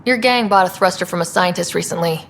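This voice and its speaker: A woman's voice: lowish voice, quick pace, creaky, a bit bright, slight background noise. A woman playing a confident, determined explorer hero in a video game, direct and questioning. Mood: firm, demanding.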